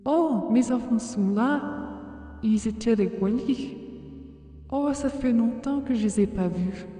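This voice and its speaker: A performer voicing a gentle, watchful parent spirit in a comic dub, with heavy reverb on the voice. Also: medium pitch, unhurried. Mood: wistful. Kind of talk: dramatic comic dub monologue. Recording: distant, very echoey hall reverb, music behind.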